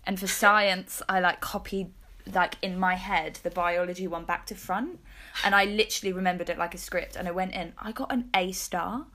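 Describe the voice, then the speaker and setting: A woman's voice: fairly low pitch, moderate pace, pushed and bright. A woman of twenty-two with a British accent, hosting a podcast in an informal chatty way and often correcting herself. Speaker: podcast host. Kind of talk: casual chat.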